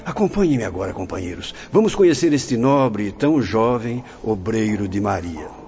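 A man's voice: lowish voice, expressive, normal speed, darkish tone. An actor with a Brazilian accent playing a pious, fatherly elder friar, speaking formally and reassuringly in a radio novel. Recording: dull lo-fi audio with faint music.

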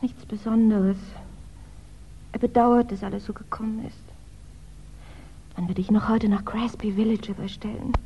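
An actress playing an anxious, tender wife in a 1960s German radio crime drama, speaking hesitantly and with emotion. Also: female voice, mid-range voice, flat delivery, moderate pace, long pauses, husky. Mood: anxious, subdued. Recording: dull, band-limited 1960s radio recording.

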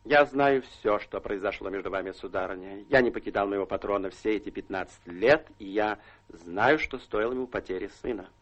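A courteous gentleman lawyer in a radio drama, played by a male actor. His voice is on the low side, with measured, solemn phrasing and formal deference. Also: natural voice. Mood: solemn persuasion. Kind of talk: Russian radio drama stage acting.

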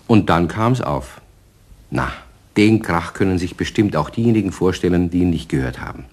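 A man narrating a children's radio play as an expressive, colloquial storyteller. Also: low-pitched, normal speed.

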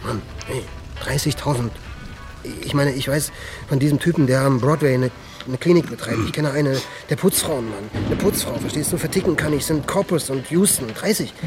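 A man's voice: mid-range voice, normal speed, over music, natural voice. An actor playing a jittery, boastful young junkie thief in a crime radio drama, stammering and compulsively repeating his phrases. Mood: agitated, eager.

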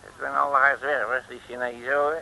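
A brash, boastful bumbling detective. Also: male voice, medium pitch, little pitch movement, normal speed, creaky, pushed and bright, poor audio. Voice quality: brash, chatty, slangy. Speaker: detective Piet Loeris. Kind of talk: 1950s Dutch radio comedy acting.

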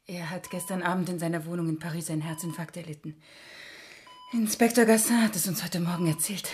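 An actress as a quick, subdued woman. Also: on the low side, fairly even intonation, a little mellow, slight background noise, close to an everyday voice. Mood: subdued concern. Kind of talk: German radio play acting.

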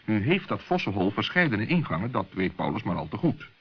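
Man, storyteller, animated children's narration, slightly rough husky tone, dull old recording. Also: fairly low pitch, a little fast. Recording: muffled old radio play recording.

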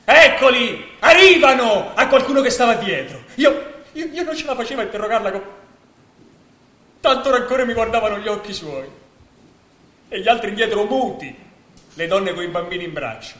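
An actor playing an indignant homeless man in Italian theatre, agitated and declamatory, swinging between hushed talk and shouts. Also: high-pitched, animated, moderate pace, long pauses. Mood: breathless, bitter. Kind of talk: theatrical Italian stage acting. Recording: very echoey stage hall recording.

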